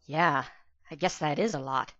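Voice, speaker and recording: A woman's voice: sits low, moderate pace, fairly bright tone, no room sound, highs cut off. A woman playing a polite, hesitant young female pony who is unsure of herself and tends to stammer. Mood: surprised, unsure. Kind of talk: dramatic reading of fan fiction.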